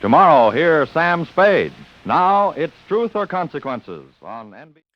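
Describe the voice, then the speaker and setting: A man's voice: a little high, big pitch swings, a little slow, dull audio. A man announcing in the formal style of a 1950s network radio program. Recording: band-limited 1950 radio, music behind. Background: music.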